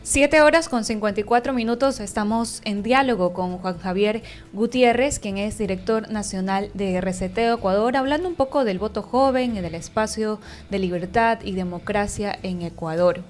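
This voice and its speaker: Female radio news interviewer, mid pitch, measured questions, faint music behind.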